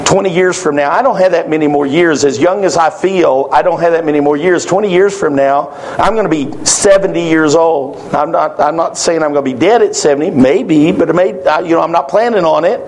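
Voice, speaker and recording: A man's voice: on the high side, a little fast, few pauses, darkish tone. A man preaching a sermon in church, earnest and repetitive, often starting with "I want to tell you". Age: 40s. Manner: earnest, repetitive emphatic phrasing.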